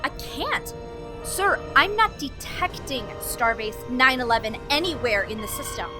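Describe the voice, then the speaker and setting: A woman's voice: high-pitched, very animated, measured pace, over music. A woman playing an alarmed starship bridge officer in an audio drama.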